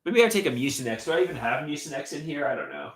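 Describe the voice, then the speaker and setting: A man's voice: a little high, big pitch swings, rapid-fire, echoey room. A man hosting a casual livestream, reading chat comments aloud with a stuffy, congested nose and a rambling, hesitant way of talking. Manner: rambling, hesitant, trails off mid-thought. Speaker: livestream host.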